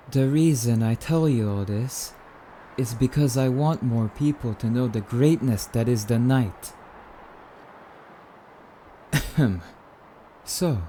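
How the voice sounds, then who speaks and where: A man playing a suave, courtly immortal vampire in an ASMR roleplay, speaking in formal, theatrical, rambling musings with drawn-out phrases. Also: mid-range voice, mellow, slight background noise, rough recording.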